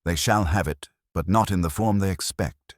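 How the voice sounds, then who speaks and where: A man's voice: deep voice, moderate pace, no room sound, clean studio sound, voice pushed up. A man voicing an imperious, irritable detective in an audiobook, with formal, commanding, precise diction. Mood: grim, commanding.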